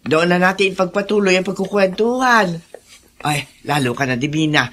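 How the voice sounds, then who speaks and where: Radio drama actress as motherly cook, bustling and exclaiming, lowish bright voice, faint noise. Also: normal speed, lightly acted. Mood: bustling, welcoming. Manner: effusive, chatty, exclaiming. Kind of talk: Filipino radio drama acting.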